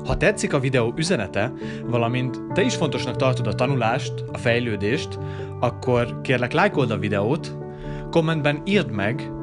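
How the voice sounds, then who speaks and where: A man narrating a scripted voice-over for his video, steady and earnest, asking viewers to subscribe. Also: medium pitch. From Hungary. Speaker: vlogger. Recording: loud guitar music behind.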